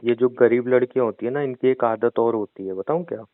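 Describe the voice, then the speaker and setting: A man's voice: mid-range voice, brisk, no room sound. A young man teasing his girlfriend in a comedic phone call, playful and mocking. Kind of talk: scripted comedic phone banter.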